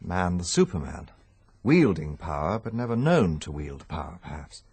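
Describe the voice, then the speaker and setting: A man's voice: sits low, sing-song, normal speed, a little mellow, husky, dry recording, full character voice. A male actor with a British accent playing a polite, probing amateur investigator in a radio drama, well-spoken and reserved.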